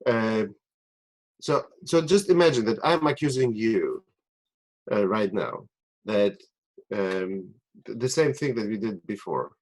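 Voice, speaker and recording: Man, Polish-American accent, lowish voice, webinar host, halting, restarts often. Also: expressive, darkish tone, poor audio. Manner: halting, many fillers and restarts, laughs easily. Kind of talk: live webinar teaching.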